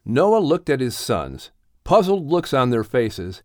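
A man narrating an audiobook story in an expressive, dramatic storytelling manner. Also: mid-range voice, slow, dry recording, studio quality.

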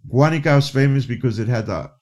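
This man speaks with a middle-pitched voice. He talks animatedly with lots of "you know" fillers, often breaking off and restarting his phrases as he tells his stories. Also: fast talker, darkish tone. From New York City. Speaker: guest; educator. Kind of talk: casual storytelling interview.

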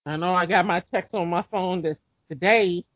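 A woman's voice: lowish voice, moderate pace, no echo. A woman joking in slangy casual chat with friends, laughing often. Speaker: group chat participant. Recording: dull lo-fi sound.

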